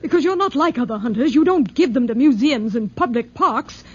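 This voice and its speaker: An actress playing a hunter's bitter, fearful but defiant wife, melodramatic and pleading in 1940s radio style. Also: female voice, high-pitched, lively intonation, brisk, husky. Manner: melodramatic, pleading yet firm. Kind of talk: 1940s radio drama acting. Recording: muffled, noisy 1940s radio recording.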